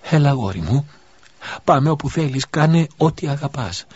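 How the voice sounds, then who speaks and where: A man's voice: mid-range voice, lively intonation, a little mellow, slightly rough, no room sound, pitched above the performer's own voice. A man doing a desperate grieving widow. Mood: joyful. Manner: pleading, then wailing curses. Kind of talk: audiobook character voice. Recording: dull lo-fi recording.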